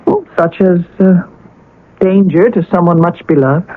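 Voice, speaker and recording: Radio-play confidante: reasoning, earnest woman with a rough husky voice, on a muffled vintage broadcast. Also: lowish voice, unhurried. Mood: probing. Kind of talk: 1970s radio drama acting. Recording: muffled, band-limited old radio recording.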